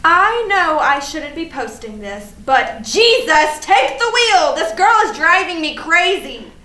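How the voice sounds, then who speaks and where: A woman's voice: very high voice, big pitch swings, takes it slowly, sharp tone, full character voice. A woman acting a frantic, melodramatic young woman who rambles and exclaims in a comedic staged reading. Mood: wounded, indignant. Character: frantic young woman agonizing over social media feud. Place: live staged reading in a room. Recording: roomy, distant mic, faint noise.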